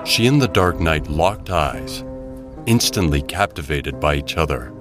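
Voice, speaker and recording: Male narrator, very low voice, unhurried, measured, suspenseful storytelling, loud music bed. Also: lively intonation. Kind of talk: scripted dramatic story narration.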